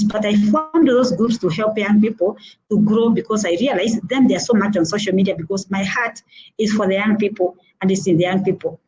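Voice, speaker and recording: A middle-aged woman with a Kenyan accent gives earnest advice, circling back to her points between small "uh" pauses. Her voice is warm and mellow. She comes through a muffled, lo-fi video call. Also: medium pitch, slight background noise. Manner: earnest and advising, repeating points with frequent "uh" hesitations. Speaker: motivational speaker. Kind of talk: instructive talk on networking.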